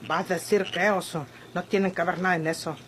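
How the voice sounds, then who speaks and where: A woman's voice: lowish voice, steady tone, a little fast, lightly acted. Woman acting a feisty rural Mexican ranch woman. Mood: fiery, scornful. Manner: rustic, sharp-tongued speech. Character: feisty, blunt, vengeful young ranch woman. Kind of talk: Mexican golden-age radionovela acting. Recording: noisy old radio drama recording.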